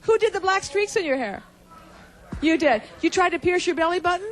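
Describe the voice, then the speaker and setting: A woman's voice: very high voice, animated, a little fast. A woman hosting a daytime talk show, asking blunt, probing questions in front of a studio audience.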